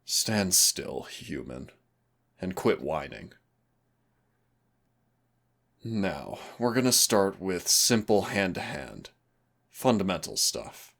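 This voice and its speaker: A predatory demon acted by a man drills his human trainee in an audio roleplay. His voice sits very low with a heavy gravelly creak. He taunts with mock courtesy and gives orders with smug, dangerous ease. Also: very animated, takes it slowly, a bit bright, exaggerated voice. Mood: commanding, taunting. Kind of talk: scripted roleplay audio acting.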